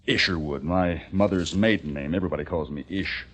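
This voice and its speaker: An actor playing a haunted, educated young American survivor, narrating intensely in the theatrical style of old-time radio drama. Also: male voice, deep voice, a little fast, a bit bright. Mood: guarded, casual. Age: young adult. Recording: vintage radio recording with music behind.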